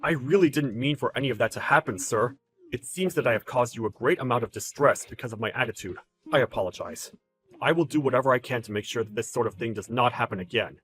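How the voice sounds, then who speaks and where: A calm, deferential male employee, acted by a man in a story drama. He speaks formally and with restraint, quietly firm. The voice is clear-edged and bright, with faint music behind it. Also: medium pitch, fast talker, pitched below the performer's own voice, natural voice. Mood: apologetic.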